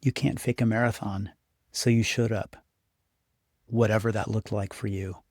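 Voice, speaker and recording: A man narrating a scripted guided meditation as the guide, speaking in an unhurried, encouraging, instructive way. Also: on the low side, fairly even intonation, a little mellow, no room sound, studio quality. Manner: unhurried, instructive, encouraging second-person address.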